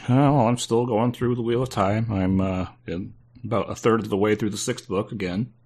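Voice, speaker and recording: A man's voice: lowish voice, fairly even intonation, moderate pace, a little mellow, husky. A man with congestion from allergies, chatting on a podcast in a hesitant way, trailing off often. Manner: hesitant, many fillers, trailing off. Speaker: podcast co-host. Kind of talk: casual podcast chat.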